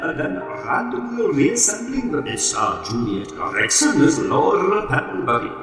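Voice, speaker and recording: A man reading comic closing credits as an announcer, in an echoing, distant recording. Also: high-pitched. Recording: very echoey, distant, music behind.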